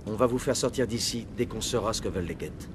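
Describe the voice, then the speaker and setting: A man's voice: lowish voice, quick pace, background noise, close to an everyday voice. A man playing a resolute, authoritative male military commander in a video game, with terse delivery. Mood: resolute, commanding. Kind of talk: French video game dub.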